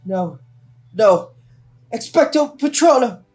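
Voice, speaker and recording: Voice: medium pitch, lively intonation, slow, darkish tone, soft background music. A performer voicing a frightened young wizard boy in an audio drama, urgent and crying out in desperation. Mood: desperate shouting.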